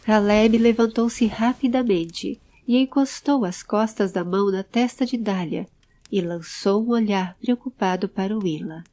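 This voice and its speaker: A woman's voice: mid-range voice, unhurried, mellow. A woman narrating a scripted audio drama. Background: background music.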